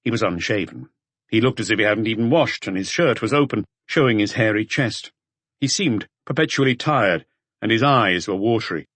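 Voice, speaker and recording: Guy narrating a mystery audiobook, low voice, very dry recording. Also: animated, moderate pace, a bit bright, dry recording.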